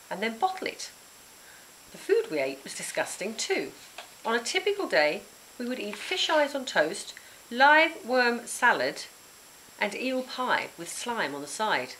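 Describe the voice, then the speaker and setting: A woman narrating a children's storybook in an expressive, dramatic and emphatic way. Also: medium pitch, slight background noise, rough recording. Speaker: author reading their own book.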